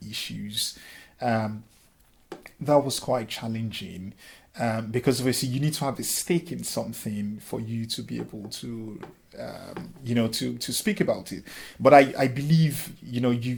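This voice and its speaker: Gravelly Nigerian man, earnest and halting. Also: medium pitch, a little hiss or hum. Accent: Nigerian with a light Scottish touch. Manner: earnest, many ums and restarts. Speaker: interviewee, lecturer and former councillor. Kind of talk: reflective interview answers.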